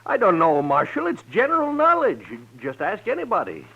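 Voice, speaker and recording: An American actor playing a jittery, earnest small-town storekeeper in a 1950s radio western, fussy, folksy and excitable. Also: male voice, high-pitched, fairly quick, creaky, breathy edge, exaggerated voice. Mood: anxious, earnest. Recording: muffled 1950s radio recording.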